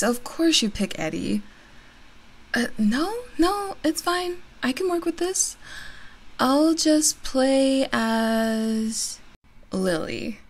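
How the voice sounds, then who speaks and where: Playful gamer girl best friend, creaky voice, acted by a woman. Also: a little high, exaggerated voice. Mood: bantering. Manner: teasing, giggly, chatty. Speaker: ASMR roleplay performer. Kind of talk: ASMR roleplay, casual one-sided conversation.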